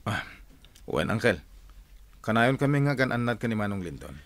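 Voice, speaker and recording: A man with a Filipino accent playing a gruff, emotional fighter in a radio drama, over loud noise. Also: on the low side, sing-song, brisk. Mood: dutiful, determined. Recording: loud hum and engine noise.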